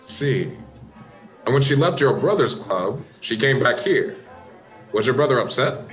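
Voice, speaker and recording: An actor playing a polite, probing American police inspector with measured, courteous questioning in a radio play. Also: male voice, medium pitch, animated, faint music behind, natural voice. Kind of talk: radio play detective dialogue.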